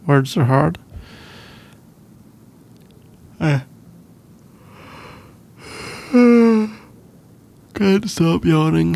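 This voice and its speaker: A man's voice: on the high side, vocal fry, heavily acted. A man with a British accent playing a sleepy, shy college boyfriend in an intimate ASMR roleplay, hesitant and rambling. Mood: tired, reassuring. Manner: hesitant, halting, rambling with soft laughs. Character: sleepy, shy, easygoing young male college student boyfriend.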